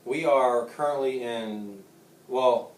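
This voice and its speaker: A man's voice: medium pitch, slow, roomy sound, far from the mic, rough recording. A man in his thirties from Savannah, a golfer answering interview questions in a joking, rambling way with lots of laughs and restarts. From Savannah, Georgia. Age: 30s.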